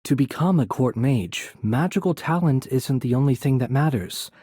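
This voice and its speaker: A man playing a courteous, composed young noble mage in a video game, soft-spoken and slightly breathy, with polite, measured, formal phrasing. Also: medium pitch, lively intonation, no room sound, clean studio sound. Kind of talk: video game character voice acting.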